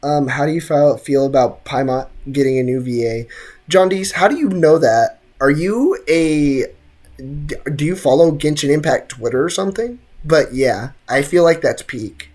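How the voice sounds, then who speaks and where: A man's voice: on the high side, expressive, normal speed, darkish tone. A young man giving casual, slangy game commentary and joking with his livestream chat. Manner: slangy, jokey, theatrical outbursts.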